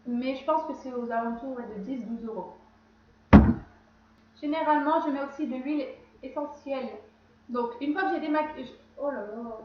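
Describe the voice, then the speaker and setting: A woman's voice: sits high, normal speed, long pauses, noisy background. A woman with a cold chatting casually through her skincare routine, rambling and often correcting herself. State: has a cold. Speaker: beauty vlogger. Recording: very echoey room, slightly dull sound.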